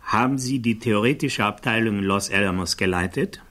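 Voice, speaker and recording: An actor playing a formal, precise attorney who questions a witness in a German radio play. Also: male voice, lowish voice, brisk, a bit bright, lightly acted. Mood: formal, probing. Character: probing male defense attorney questioning a witness.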